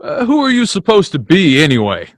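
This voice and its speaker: Agitated husband at the end of his rope, male actor, emotional radio-play delivery, slightly high pitch. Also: wildly swooping pitch, fairly quick, no echo, studio quality. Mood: dejected, bewildered. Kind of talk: old-time radio play acting.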